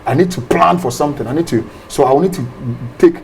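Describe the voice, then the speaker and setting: A man, a pastor explaining ideas in an interview, animated and often repeating words. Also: on the high side, fast, creaky, slight background noise. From Ghana.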